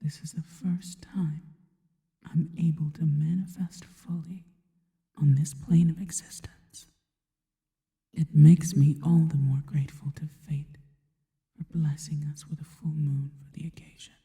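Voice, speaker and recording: Casting: actress as adoring, sinister female spider creature for ASMR roleplay, low breathy whisper, very dark and soft, drenched in reverb. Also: fairly even intonation. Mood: reverent, teasing. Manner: slow, deliberate, intimate, formal phrasing. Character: seductive spider-woman monster, adoring, sinister, slow and reverent. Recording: long reverberant echo.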